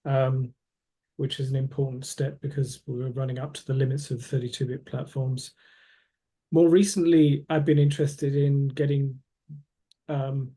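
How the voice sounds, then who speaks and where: A middle-aged man, a long-time open-source developer, giving a measured, technical self-introduction on a video call with many pauses and fillers. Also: mid-range voice, dark tone, slightly rough.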